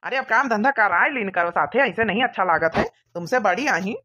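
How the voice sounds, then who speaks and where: A woman's voice: medium pitch, fairly quick, sharp tone. A woman voicing a nagging but fair-minded young village husband in an Awadhi cartoon comedy, with a rustic, scolding manner. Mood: reproachful.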